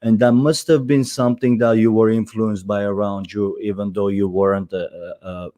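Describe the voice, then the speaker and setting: A man's voice: fairly low pitch, little pitch movement, normal speed, dark tone. A man in his thirties from Armenia, hosting a casual interview, talking with lots of hesitations, fillers and restarts. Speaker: podcast host.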